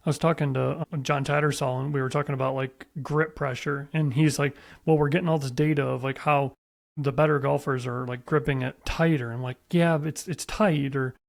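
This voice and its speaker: A man's voice: medium pitch, steady tone, fairly quick, creaky. A man hosting a podcast, chatting casually as he interviews a guest about a sport. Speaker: podcast host.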